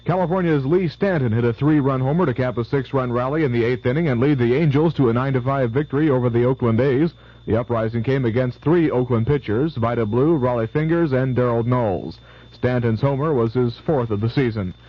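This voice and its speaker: A man reading the sports results and weather forecast on radio news in a brisk, even way. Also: mid-range voice, no echo. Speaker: radio newscaster. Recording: lo-fi 1970s AM radio.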